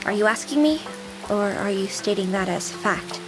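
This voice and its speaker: A woman's voice: mid-range voice, animated, moderate pace, music in the background, close to an everyday voice. A woman playing a young female AI companion with an anime-girl persona in a visual novel game, devoted and formal but playful. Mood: firm. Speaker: game character.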